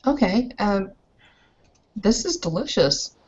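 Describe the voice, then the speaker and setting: A woman's voice: mid-range voice, sing-song, slow pace, husky, muffled recording. A woman casually roleplaying as a player in a tabletop game session.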